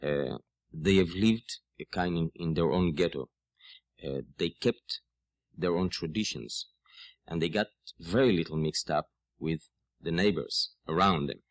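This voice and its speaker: A man from Israel with an Israeli accent, a native Hebrew speaker, explaining folk music as an interview guest in a halting, word-searching way. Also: sits low, no echo. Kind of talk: radio interview conversation.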